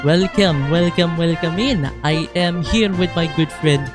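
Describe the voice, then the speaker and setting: A man's voice: fairly high pitch, a little slow. A man hosting a gaming reaction video, mixing scripted commentary with casual chat in a theatrical, playful fan manner. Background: music behind the voice.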